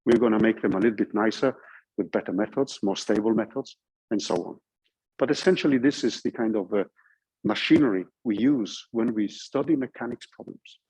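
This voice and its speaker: A man's voice: on the low side, fairly quick, a little mellow, breathy edge, poor audio. A man with a Norwegian accent giving an informal university lecture, explaining things step by step and checking in with his listeners. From Norway. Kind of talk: informal lecture walking through code.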